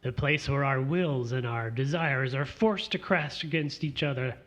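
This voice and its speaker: A man's voice: medium pitch, normal speed, fairly bright tone, close to an everyday voice. A man voices a smug, theatrical provocateur in a radio play. His mid-range voice needles and taunts, dripping with scorn. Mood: scheming.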